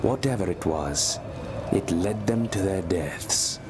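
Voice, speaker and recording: An actor playing a grave, weary observer of a holy order in a video game, formal, measured and wry. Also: male voice, deep voice, animated. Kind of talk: video game cutscene acting. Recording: loud ambient noise behind.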